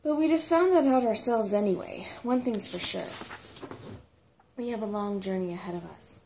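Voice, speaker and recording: A woman voicing an excitable, grumbling small furry female creature in a storybook, blunt and self-important. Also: mid-range voice, moderate pace, a little hiss or hum, natural voice, poor audio. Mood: brisk.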